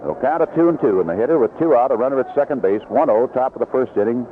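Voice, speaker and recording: A tinny, narrow old radio broadcast with heavy noise carries a man's baseball play-by-play. His voice is medium in pitch. He describes the field in an unhurried way and lifts his delivery when the ball is in play, in the manner of 1970s sports radio. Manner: unhurried description between plays, rising excitedly on action. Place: outdoor ballpark broadcast booth.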